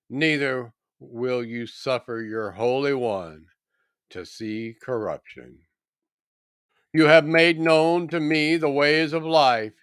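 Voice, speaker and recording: Slow, smooth-voiced man reading scripture. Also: mid-range voice, a bit bright, dry recording, clean studio sound. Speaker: scripture reader. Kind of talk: solemn scripted Bible reading.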